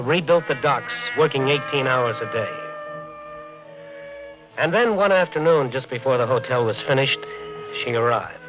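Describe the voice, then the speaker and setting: Actor as brash American hotel developer, old radio. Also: male voice, mid-range voice, lightly acted. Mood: grim narrating. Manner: fast-talking, slangy, cocksure. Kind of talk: old-time radio drama acting. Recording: muffled 1950 radio broadcast, faint music.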